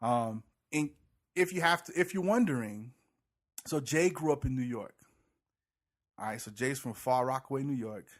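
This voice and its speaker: A man's voice: medium pitch, normal speed, pauses often. A man from Puerto Rico, raised in Georgia, co-hosting a casual podcast with a rambling, joking, self-deprecating way of talking. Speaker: podcast co-host. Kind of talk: casual chat. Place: indoor room, shared studio mics.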